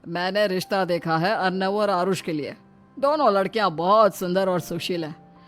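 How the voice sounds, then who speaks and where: A woman's voice: lowish voice, moderate pace, soft background music, close to an everyday voice. A woman voicing a kindly, joking elder brother-in-law who speaks reassuringly. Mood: friendly. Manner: jovial, reassuring.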